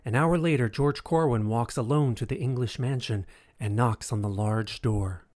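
A man's voice: medium pitch, moderate pace, background noise, close to an everyday voice. A man narrating an audio drama in a scripted storytelling style.

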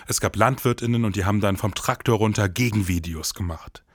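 A man with a fairly low voice co-hosts an investigative podcast. He delivers scripted dialogue and narration, recorded close and dry. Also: a little fast, no echo.

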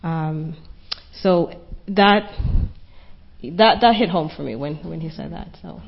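A woman's voice: low voice, slow pace, gravelly. A woman panelist speaking earnestly and reflectively at a conference panel discussion, thinking aloud as she goes. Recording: dull lo-fi conference recording.

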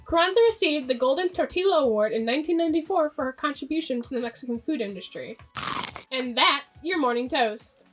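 A woman presenting scripted quick facts on a podcast. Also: sits high, lively intonation, music in the background. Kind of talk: scripted fact reading. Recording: distant from the microphone.

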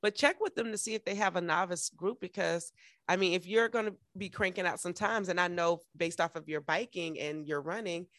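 A woman with an American accent hosting a casual interview in an animated, encouraging, emphatic way. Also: on the low side, fairly quick, no room sound, studio quality. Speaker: podcast host.

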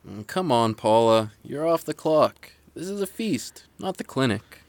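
A man's voice: mid-range voice, expressive, a little slow, darkish tone, dry recording. A man playing an easygoing, joking local in a naturalistic audio drama, with a folksy, slangy way of talking. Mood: folksy, cajoling.

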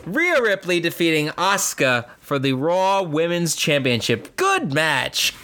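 A man's voice: on the high side, wildly swooping pitch, a little slow, pushed and bright. A man co-hosting a casual wrestling podcast, steering the talk and bringing up each new topic. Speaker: podcast co-host.

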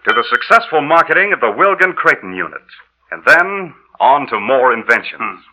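A man's voice: mid-range voice, sing-song, normal speed, sharp tone, slight background noise, rough recording. An actor playing a trusting, earnest inventor betrayed by his partner, delivered with emphatic old radio horror drama acting. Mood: jubilant. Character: trusting, eager male inventor, now a bitter dead narrator. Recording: old 1930s-40s radio broadcast recording.

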